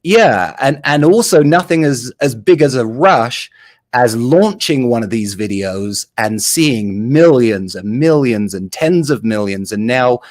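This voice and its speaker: A man in his forties with a London accent answers interview questions about making videos. He speaks in an animated, enthusiastic way, and his voice sits in an ordinary middle pitch. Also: a little slow. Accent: English, London. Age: 40s. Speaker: guest, video producer.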